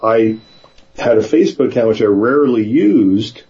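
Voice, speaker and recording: A man in casual conversation, speaking in a hesitant, halting way, sometimes reading aloud. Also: medium pitch, lively intonation, warm tone, muffled recording. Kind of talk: casual conversation with some read-aloud passages.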